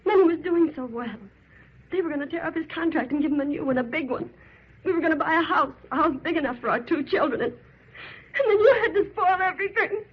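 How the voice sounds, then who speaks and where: A woman's voice: high-pitched, animated, a little fast. An actress playing a distraught young wife and mother, bitterly reproachful, in an old radio melodrama. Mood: hurt, accusing. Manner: emotional, reproachful. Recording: dull, lo-fi old radio recording.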